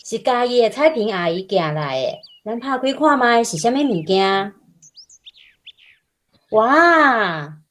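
A woman acting a gentle, cheerful mother in a radio drama, speaking expressively with a Taiwanese accent. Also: on the high side, slow, pauses often, full character voice. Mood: warm, coaxing. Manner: animated, expressive. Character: gentle, cheerful mother talking to her child.

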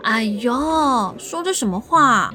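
A woman's voice: fairly high pitch, lively intonation, slow, sharp tone, soft background music, heavily acted. A woman playing a caring, outspoken young friend in a radio drama. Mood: concerned.

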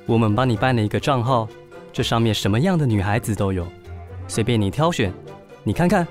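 A man's voice: medium pitch, a little fast, soft background music, natural voice. Radio drama son played by a man: youthful, knowing, pushy, middle register, Taiwanese accent. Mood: eager. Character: matter-of-fact, pushy young son of a widower. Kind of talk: Mandarin radio drama acting.

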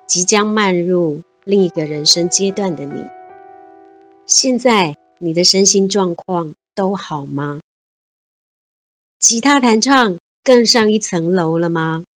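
A cheerful woman in her fifties from Taiwan talks as a guest at a mid pitch, often laughing and speeding up in bursts of enthusiasm. Soft music plays faintly behind her. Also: dry recording. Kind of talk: casual interview chat.

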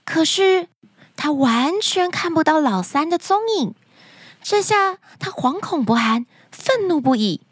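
A woman tells stories for children with dramatic expression. Her voice is high and swings widely in pitch. The recording is dry and close. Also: expressive, a little slow, dry recording. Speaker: children's story podcast host. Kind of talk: animated scripted storytelling for children.

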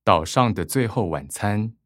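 A man's voice: on the low side, expressive, a little slow, no room sound, studio quality. A man with a Taiwanese Mandarin accent narrating a scripted radio drama. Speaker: narrator reading synopsis, credits and stage directions.

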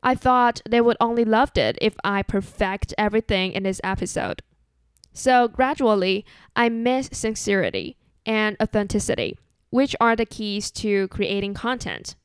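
A young woman speaks with a Chinese accent, hosting her own podcast in a calm, earnest and conversational way. Her voice is smooth and clear at a middle pitch. It is recorded close and dry, with no room sound. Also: no echo. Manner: earnest, measured, relaxed and conversational. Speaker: podcast host. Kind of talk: solo podcast monologue.

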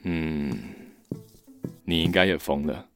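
A man playing a dry, unimpressed, calmly teasing friend in a radio drama, with a deadpan delivery. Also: extremely low pitch, slow pace, faint music behind, full character voice.

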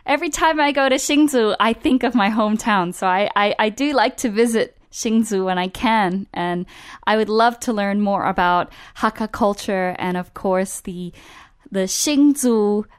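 A woman's voice: mid-range voice, normal speed, smooth. A woman hosting a conversational radio interview in an upbeat, encouraging and polite manner. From Canberra, Australia.

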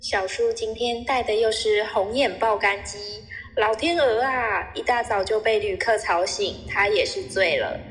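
A woman's voice: medium pitch, measured pace, few pauses, a bit bright. A woman narrating a scripted comic audio story. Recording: echoey hall sound.

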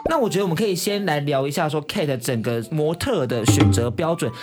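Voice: mid-range voice, animated, brisk. A radio host with a Taiwanese Mandarin accent, chatting casually and playfully in an interview, teasing and exclaiming, with some English words mixed in. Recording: music playing behind the voice.

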